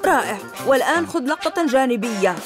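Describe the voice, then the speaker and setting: A woman plays a boastful young boy ninja villain from a children's cartoon, gloating loudly and showing off. The voice sits fairly high, with music playing behind it. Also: expressive, quick pace. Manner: gloating, showy, loud. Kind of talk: cartoon dubbing.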